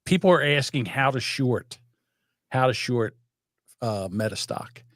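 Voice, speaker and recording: A man's voice: medium pitch, unhurried, dry recording, studio quality. An older man, chatting casually and profanely as a podcast co-host, rambling through stories. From South Jersey. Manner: rambling, profane, animated storytelling. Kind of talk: casual chat.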